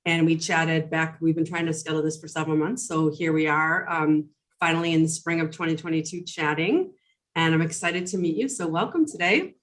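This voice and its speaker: Woman, podcast host, eager and chatty, lower register, video-call interview. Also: a little fast. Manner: bubbly, enthusiastic, rambling with fillers. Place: Chicago.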